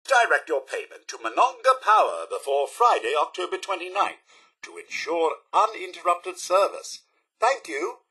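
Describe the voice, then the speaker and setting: A man's voice: a little high, sharp tone, breathy edge, exaggerated voice. A male actor voicing a polite, fussy robot butler in a video game, with a British accent and a formal, theatrical delivery. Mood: formal, courteous. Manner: formal, overly courteous, theatrical.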